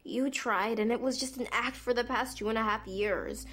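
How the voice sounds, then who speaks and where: A woman playing a scheming, outwardly apologetic young unicorn pony in a fan-made cartoon drama, halting and given to breathy asides. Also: fairly high pitch, a bit bright. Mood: downcast, pleading.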